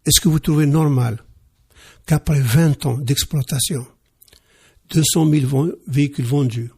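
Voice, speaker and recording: Male radio guest, husky and gravelly, hesitant but emphatic. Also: fairly high pitch, unhurried, dark tone. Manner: hesitant, repeats words, emphatic. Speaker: interview guest, car dealer. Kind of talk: radio interview.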